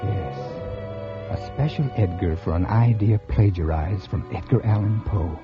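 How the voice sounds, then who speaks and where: An actor playing a smug, scheming mystery writer, with an American accent and polished, theatrical 1950s radio delivery. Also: male voice, lowish voice, measured pace, music in the background, lightly acted. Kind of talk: 1950s radio acting, first-person narration. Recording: dull old radio recording.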